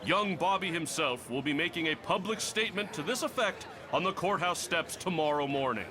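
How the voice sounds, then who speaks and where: An actor playing a pompous, genial elderly politician in a motion comic, with a street crowd behind him. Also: male voice, fairly high pitch, expressive, brisk, natural voice. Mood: self-satisfied. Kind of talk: dramatic motion comic voice acting.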